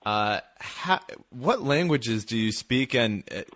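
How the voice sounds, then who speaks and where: A man with a bright, sharp voice asks questions in a casual interview. He stumbles and restarts as he hunts for the right words, circling back before landing on his point. His pitch sits in an ordinary middle range. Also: animated. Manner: halting, searches for words, repeats himself. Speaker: podcast host.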